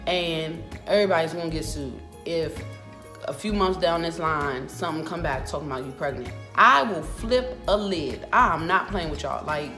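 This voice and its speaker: A woman in her twenties with an American accent tells a personal story in a casual, animated, emphatic and sassy vlog style. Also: sits low, normal speed. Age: 20s. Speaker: vlogger. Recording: roomy echo with music behind.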